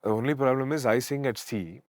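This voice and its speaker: A man talks about his music as an interview guest. He speaks fast and laughs easily as he thinks aloud. The recording is dry and close. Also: darkish tone, no room sound. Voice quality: deep voice. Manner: reflective, easygoing, laughs often, mixes Kannada into English. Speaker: veena player and singer, guest. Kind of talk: casual interview chat.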